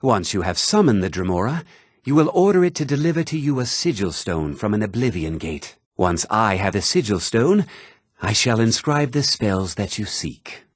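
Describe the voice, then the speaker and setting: A husky, deep-voiced learned mage. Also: male voice, very animated, moderate pace, no echo, clean studio sound. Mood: solemn, instructive. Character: learned, measured male mage of a magic college. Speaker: video game character. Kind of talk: scripted fantasy game dialogue.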